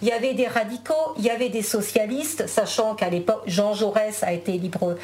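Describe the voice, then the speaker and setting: A woman's voice: mid-range voice, fairly quick, a little mellow. A woman whose first language is Spanish, a guest in a casual interview chat, talking with many fillers and restarts.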